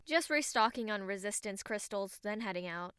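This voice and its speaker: A woman's voice: mid-range voice, expressive, moderate pace, a bit bright, clean tone, dry recording, close to an everyday voice. A woman playing a blunt, hot-tempered and wounded young fantasy adventurer in a dramatised audiobook. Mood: curt. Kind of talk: dramatised audiobook acting and first-person narration.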